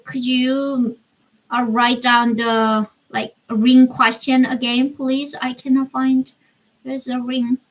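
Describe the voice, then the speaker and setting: A young woman from North Korea with a Korean accent, hosting a casual live stream Q&A from her bedroom, speaking halting English and searching for words. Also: fairly high pitch, a bit bright, rough recording. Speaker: livestream host. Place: small bedroom with string lights.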